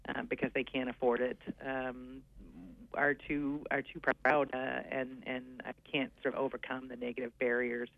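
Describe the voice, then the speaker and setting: A man's voice: medium pitch, level tone, moderate pace, creaky, no echo. A man being interviewed on radio, explaining in a hesitant, earnest way with many fillers and restarts. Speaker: guest coordinating aging services. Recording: dull lo-fi radio sound.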